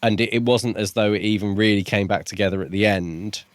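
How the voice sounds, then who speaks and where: A man's voice: fairly low pitch, fairly even intonation, fairly quick, no room sound, clean studio sound. A man co-hosting a book review podcast, recapping and discussing a novel. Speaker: podcast co-host.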